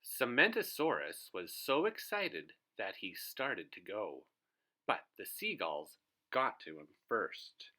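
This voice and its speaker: A man's voice: lowish voice, very animated, slow pace, pushed and bright. A man reading a children's storybook aloud as host, expressively, putting questions to his young listeners.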